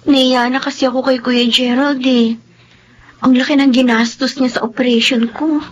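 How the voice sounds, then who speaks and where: An actress playing a sweet, grateful younger sister in a Tagalog radio drama. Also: female voice, high-pitched, level tone, moderate pace, dull audio. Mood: earnest, shy.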